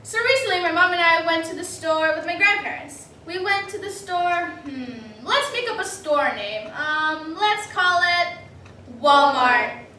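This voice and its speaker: Distant, roomy recording. Young female reader's theater performer, very high-pitched, lively and dramatic comic storyteller. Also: unhurried. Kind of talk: staged personal comic monologue. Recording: echoey room, distant mic, faint noise.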